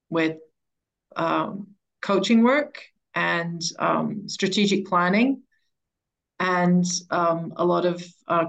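Middle-aged female interview guest, slightly low voice, conversational explainer. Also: creaky, dull audio. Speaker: interview guest, education coach.

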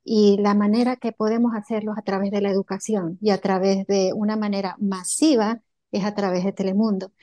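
A woman's voice: medium pitch, level tone, brisk, dark tone. A woman from Bolivia answering interview questions in an earnest way, with many filler sounds. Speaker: entrepreneur guest.

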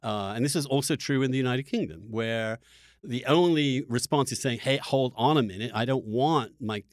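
A man from England talks as a podcast host in a dry, close acoustic. His voice is medium in pitch, and he speaks reflectively and haltingly, doubling back on words as he thinks aloud. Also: dry recording. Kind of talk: conversational political discussion.

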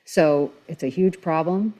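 Expert guest type, medium pitch voice, explaining stuff in a measured chatty way. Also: dark tone. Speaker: expert guest on environmental talk show. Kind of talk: conversational explanation.

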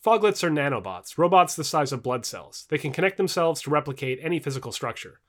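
A man's voice: on the high side, lively intonation, fast talker, lightly acted. A man voicing a confident male futurist who patiently explains and corrects in an audio book dialogue. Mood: explaining.

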